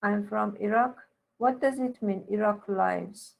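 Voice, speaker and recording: A woman with a crackly, fry-edged voice speaks slowly as a teacher giving feedback. She halts and restarts as she instructs, correcting point by point. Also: medium pitch. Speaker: university teacher giving feedback on a student's paper. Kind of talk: one-to-one spoken writing feedback.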